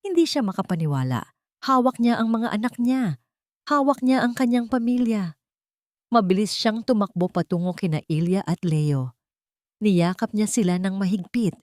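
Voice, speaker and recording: A woman's voice: mid-range voice, animated, normal speed, darkish tone, dry recording, studio quality. A woman with a Filipino accent reading a scripted, sentimental romantic drama as a storyteller.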